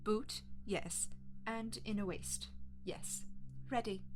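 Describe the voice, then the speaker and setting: A woman's voice: mid-range voice, steady tone, takes it slowly, a little hiss or hum, natural voice. An actress playing a breezy female lab assistant in an audio drama, talking in an offhand, conversational way. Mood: breezy, casual. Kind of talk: binaural audio drama acting.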